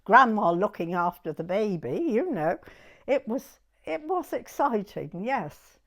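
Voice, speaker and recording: A woman's voice: mid-range voice, animated, a little slow, a bit bright. A woman in her nineties with a southern English accent, reminiscing chattily for an oral history interview and often repeating herself. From southern England. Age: 90s. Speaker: interviewee recalling her youth.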